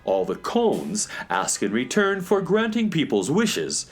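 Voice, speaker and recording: A man's voice: a little high, huge pitch swings, a little slow, slightly rough, faint music behind, full character voice. An actor playing a lofty, all-knowing cosmic handyman in a children's radio drama, speaking formally and pompously. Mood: explaining.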